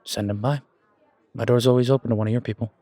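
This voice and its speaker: A man's voice: mid-range voice, steady tone, fast talker, warm tone, no room sound, lightly acted. A man playing an easygoing, affectionate chef in an audio roleplay, talking in a casual, folksy, reassuring way. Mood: restless, friendly. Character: easygoing down-home male chef, affectionate restaurant owner.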